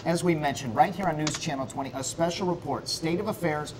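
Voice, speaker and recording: A man's voice: on the high side, fairly quick, noisy background. A man presenting a special-report preview on television news, conversational in the studio.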